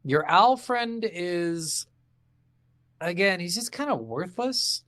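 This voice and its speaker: A man's voice: high voice, expressive, takes it slowly, pushed and bright, dry recording, clean studio sound. A man co-hosting a game podcast, chatting casually and bluntly with plenty of swearing and strong opinions. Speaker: podcast co-host reviewing video games. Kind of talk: casual unscripted chat recapping a game.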